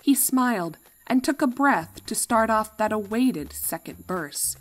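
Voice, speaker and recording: A woman reading a scripted story as narrator, with a dramatic and expressive delivery. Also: on the high side, a little slow, faint music behind, poor audio.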